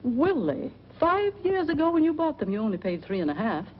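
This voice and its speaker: A dull old film soundtrack with faint noise carries an American landlady. The woman is sociable and frank, very animated in pitch, in 1940s screen style. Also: brisk. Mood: dry, chiding. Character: sociable, no-nonsense small-town boarding-house landlady.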